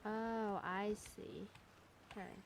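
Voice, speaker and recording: A woman's voice: mid-range voice, steady tone, slow pace, creaky, background noise. A woman giving casual gameplay commentary, muttering to herself and exclaiming in exasperation as she plays. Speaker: gamer recording a playthrough.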